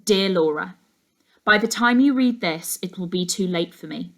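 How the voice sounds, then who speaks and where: A woman's voice: lowish voice, lively intonation, moderate pace. A woman voicing a shy, desperate schoolboy's written messages in a children's story, solemn and earnest. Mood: solemn, urgent. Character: shy, desperate schoolboy writing a farewell letter.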